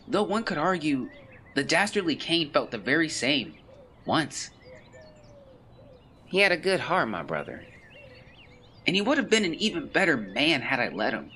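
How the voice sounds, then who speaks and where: A man's voice: medium pitch, pushed and bright, slight background noise. An actor playing a brooding, guilt-ridden man of the 1920s, solemnly and formally reading out his final confession in an audio drama. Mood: mournful, guilty.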